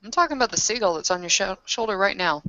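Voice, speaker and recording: A woman's voice: medium pitch, lively intonation, fast talker, pushed and bright, no echo. A woman playing a tabletop roleplaying game, casually narrating what her character does. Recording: dull lo-fi sound.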